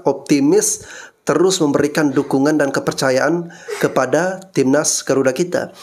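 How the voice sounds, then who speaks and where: A man hosting a football channel, talking casually and steadily to his viewers and tagging his sentences to them. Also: fairly high pitch. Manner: steady, keeps addressing viewers with filler tags. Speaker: football YouTube host.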